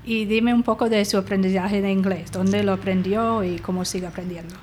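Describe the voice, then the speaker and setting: Woman podcast host, mid-pitched, brief prompts, faint hiss behind. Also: steady tone, brisk, darkish tone. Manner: short, encouraging prompts and questions. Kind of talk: interviewing.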